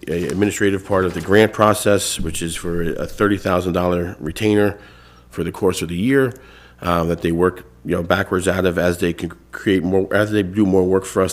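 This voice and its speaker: A congested man with a very low voice chairs a town board meeting from a table microphone. He talks casually, with many fillers and restarted phrases. He sounds to be in his thirties. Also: steady tone, moderate pace. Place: town hall meeting room.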